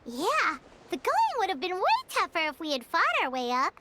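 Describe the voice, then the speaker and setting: A woman voicing a small, excitable, childlike mascot companion in a video game, squeaky and eager. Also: moderate pace, heavy background noise, exaggerated voice. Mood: cheerful. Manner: exclamatory, eager. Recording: loud game music behind.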